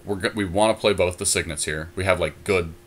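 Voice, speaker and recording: A man's voice: deep voice, a little fast, a little hiss or hum. A man co-hosting a podcast, talking through card picks in casual chat, thinking aloud with many fillers. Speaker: podcast co-host.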